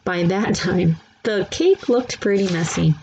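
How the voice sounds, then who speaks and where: A woman's voice: mid-range voice, measured pace, darkish tone. A woman narrating a children's book aloud as a scripted story, on a slightly dull, faintly noisy recording.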